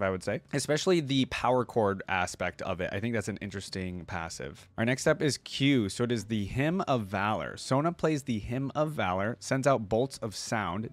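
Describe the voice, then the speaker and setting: A man's voice: medium pitch, no echo. A young man speaking as a reaction video host, informal and explanatory, close to a desk microphone. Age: young adult. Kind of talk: casual reaction commentary with some reading aloud. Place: small room indoors at a desk.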